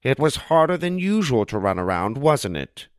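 A man's voice: mid-range voice, big pitch swings, normal speed, no echo, clean studio sound, natural voice. A man doing a kindly old pizza man. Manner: gentle, advice-giving. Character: kindly old male pizza shop owner.

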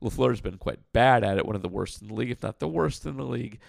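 A man hosting a casual podcast, answering listener questions in a blunt, frank way with lots of fillers; his voice sounds rough like sandpaper. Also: mid-range voice, animated, fast talker, no room sound, studio quality. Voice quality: gravelly, like sandpaper. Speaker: podcast host.

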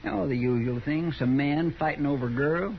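Higher male voice, actor as rambling helper, plaintive, deferential, muffled vintage radio with faint noise. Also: moderate pace, natural voice. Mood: grumbling, earnest. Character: folksy, grumbling, forgetful marshal's helper, eager to please. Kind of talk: 1950s radio Western acting.

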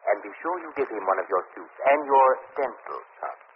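Suave, unctuous crime boss with swooping pitch. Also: male voice, lively intonation, fairly quick. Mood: coolly menacing. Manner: overly courteous, theatrical, unctuous. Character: suave, cadaverous male crime boss, mockingly genteel. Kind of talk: 1940s radio acting. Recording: muffled noisy old radio recording.

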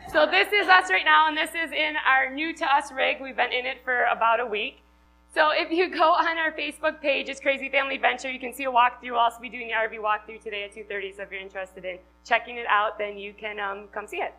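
Bright-voiced woman with a Wisconsin accent. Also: high voice, fairly even intonation, quick pace, faint music behind, poor audio. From Wisconsin, USA. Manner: chatty, upbeat, laughs often. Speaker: conference speaker. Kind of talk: live talk to an audience.

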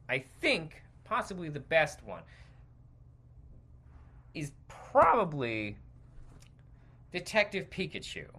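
Male podcast host, forceful bright tone, very lively pitch swings, digressive and opinionated. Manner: rambling, digressive, emphatic. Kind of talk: opinionated stream-of-consciousness commentary.

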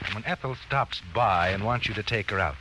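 A man's voice: on the low side, brisk, noisy background, lightly acted. An actor playing a sinister, knowing narrator who needles a guilty man with insinuating questions, in 1940s radio suspense style. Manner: insinuating, taunting rhetorical questions. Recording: old radio recording with music behind. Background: dramatic music.

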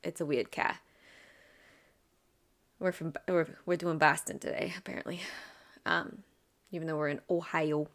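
A woman's voice: deep voice, steady tone, normal speed, pauses often, a bit bright. A woman co-hosting a podcast, telling a true crime case in a chatty way and laughing often. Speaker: podcast co-host. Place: Ohio.

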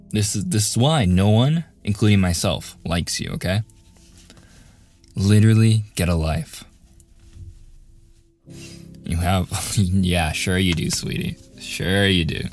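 Man acting a low-voiced, cocky student bully. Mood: smug, mocking. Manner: sarcastic, taunting, hesitant stammering under pressure. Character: cocky sneering young male student bully, flustered when restrained. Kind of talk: boyfriend ASMR audio roleplay, close and intimate acting.

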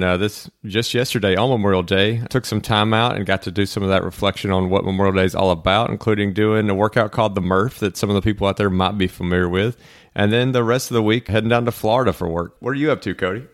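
Brisk low-voiced male co-host. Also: no echo, studio quality. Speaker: podcast co-host.

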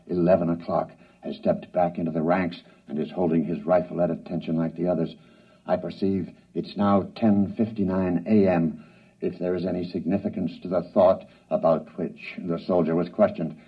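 An actor playing a grave radio news commentator reporting from the scene in deliberate 1950s broadcast style. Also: male voice, low-pitched, fairly even intonation, slightly rough. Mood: tense, measured. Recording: distant, dull old radio broadcast.

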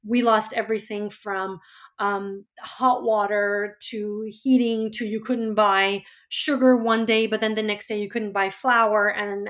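A woman in her thirties from Georgia, whose first language is Georgian, telling her life story in a reflective, measured way. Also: medium pitch. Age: 30s. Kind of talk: personal storytelling.

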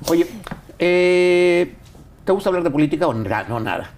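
Relaxed Mexican man hosting. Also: high-pitched, normal speed, slight background noise. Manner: relaxed, rambling, many fillers. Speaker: interview host. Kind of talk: casual conversational interview.

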